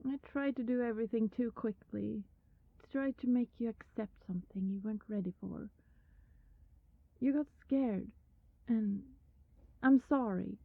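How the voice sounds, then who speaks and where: A woman playing a lovelorn, anxious vampire neighbor in an ASMR roleplay, pleading haltingly and often slipping into breathy whispers. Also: fairly high pitch, no room sound, narrow, tinny audio. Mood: apologetic, pleading.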